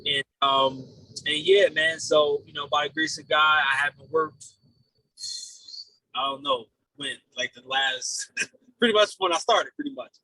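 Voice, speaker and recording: A man's voice: on the high side, a little slow, bright, forceful tone, dry recording, poor audio. A man in his late twenties giving casual, earnest interview answers, rambling and repeating his phrases as he goes. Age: late 20s. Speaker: podcast guest, real estate investor.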